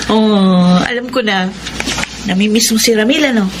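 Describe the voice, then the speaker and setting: A Filipino actress playing a sly, sympathetic older teenage sister in a radio drama. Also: female voice, mid-range voice, moderate pace, natural voice. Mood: teasing, concerned. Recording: noisy radio drama recording.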